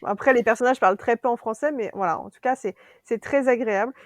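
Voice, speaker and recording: Woman from France, young adult, chatty co-host, raised pitch, quick laughter. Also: no room sound. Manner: chatty, laughs often, lively asides. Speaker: podcast co-host. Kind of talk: casual culture chat.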